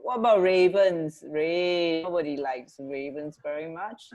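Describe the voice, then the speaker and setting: A woman's voice: sits low, a little slow, a little mellow, lo-fi sound. A woman co-hosting a radio show in casual, unscripted chat, feeling her way to words as she talks. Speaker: radio co-host.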